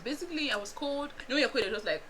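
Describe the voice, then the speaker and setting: A young Nigerian woman with a Nigerian accent, chatting casually to her viewers in an animated storytime vlog, laughing often and making asides. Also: sits high, racing, slight background noise. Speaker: vlogger.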